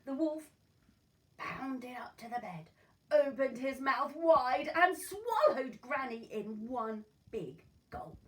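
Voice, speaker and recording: A woman narrates a children's story with deliberate, dramatic phrasing. Her pitch moves in lively swoops and her pace is unhurried. She is recorded at some distance, with faint noise. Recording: voice far from mic, noisy room.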